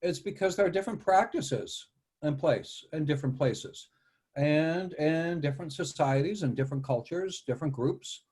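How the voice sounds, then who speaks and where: Husky guy from the us, kinda high voice, lecturing with little tangents in a panel chat. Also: lively intonation, moderate pace, a little mellow. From United States. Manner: explanatory, lecturing with asides. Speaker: behavior analyst. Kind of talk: casual panel discussion.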